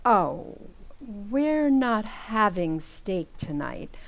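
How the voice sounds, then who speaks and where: A woman's voice: mid-range voice, sing-song, extremely slow, vocal fry, heavily acted. Woman as a coaxing, firm radio-comedy housewife. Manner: coaxing, gently scolding. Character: tidy-minded housewife, patient but firm with her husband. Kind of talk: old-time radio comedy acting.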